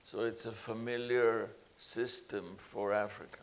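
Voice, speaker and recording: A man speaking on a conference panel as a professor, halting often with fillers and restarts. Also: lowish voice, flat delivery.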